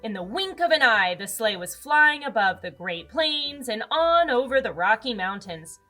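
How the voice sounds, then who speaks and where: A woman's voice: a little high, very animated, normal speed, sharp tone, soft background music. A woman with an American accent, hosting a children's read-aloud and telling a picture-book story expressively to young listeners. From Arizona, United States.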